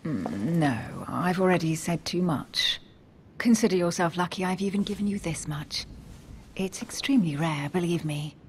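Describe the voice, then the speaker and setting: A woman's voice: lowish voice, normal speed, a little hiss or hum, lightly acted. An actress playing a guarded, witty, self-possessed woman of a secret society in a video game, composed and articulate. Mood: measured, reserved. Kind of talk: dramatic video game dialogue. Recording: music under the voice.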